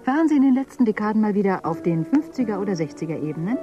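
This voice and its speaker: A woman's voice: mid-range voice, very animated, racing, dark tone, lo-fi sound, faint music behind, natural voice. An actress playing a troubled woman who works as a paid conversation companion in a dystopian radio drama, talking compulsively. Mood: rambling, enthusiastic. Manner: compulsive, rambling talk. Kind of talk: German radio drama acting.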